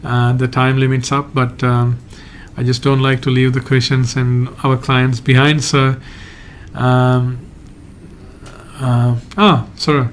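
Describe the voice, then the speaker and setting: A man from Sri Lanka, a migration agent hosting a live Q&A and answering viewer questions in a halting, hedging way. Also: mid-range voice, flat delivery, a little hiss or hum. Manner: halting, hedges often, reads out viewer questions.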